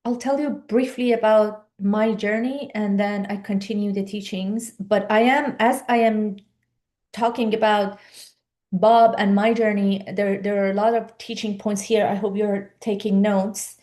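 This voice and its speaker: A woman's voice: medium pitch, steady tone, a little slow, dark tone. Earnest woman coach. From Iran. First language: Persian. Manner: earnest, urging, rhetorical questions. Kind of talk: live online coaching talk.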